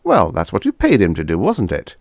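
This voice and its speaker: A man's voice: fairly low pitch, wildly swooping pitch, brisk, vocal fry, dry recording, studio quality, voice pushed up, heavily acted. A man voicing a shifty older mad doctor in a science fiction audiobook, fussy, theatrical and patronizing.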